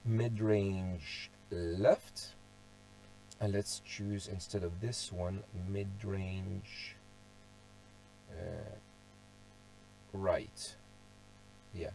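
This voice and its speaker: Slow, low-voiced man losing his voice. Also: steady tone, dark tone, soft background music, low-quality recording. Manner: thinks aloud, restarts often, clears his throat a lot. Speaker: car audio hobbyist presenting a tuning walkthrough. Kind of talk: casual screen-share tutorial.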